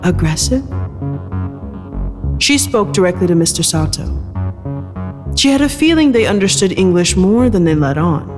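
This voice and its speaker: A man's voice: high-pitched, animated, normal speed. A man narrating scripted dramatic fiction in the third person. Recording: long reverb, guitar music behind.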